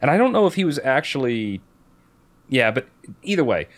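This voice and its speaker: A man co-hosting a podcast, casually discussing a book and thinking aloud in a halting way. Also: medium pitch, sing-song. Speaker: podcast co-host.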